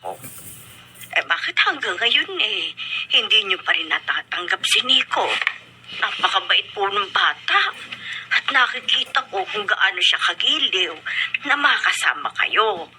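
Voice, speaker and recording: A woman's voice: mid-range voice, sing-song, unhurried. A woman playing a concerned, deferential household servant with a hesitant manner in a Filipino radio drama. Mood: concerned, reproachful. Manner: hesitant, apologetic, stammering. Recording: faintly noisy radio recording.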